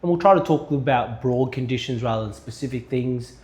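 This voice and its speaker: A man co-hosting a health podcast. He is restless and talks in casual chat, jumping in quickly with examples. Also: medium pitch, a little mellow. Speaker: podcast co-host and manual therapy practitioner.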